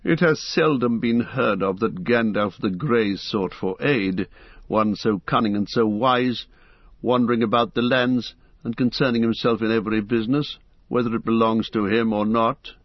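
A man voicing a proud, scornful old male wizard who speaks in a slow, sneering way. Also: mid-range voice, dry recording, dull audio, lightly acted. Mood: scoffing.

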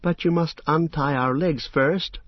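A man's voice: on the high side, a little slow, no echo, highs cut off, voice pushed up. A man voicing a small, young, cautious hobbit in an audiobook, bargaining carefully and craftily. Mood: wary bargaining. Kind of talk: audiobook fantasy character.

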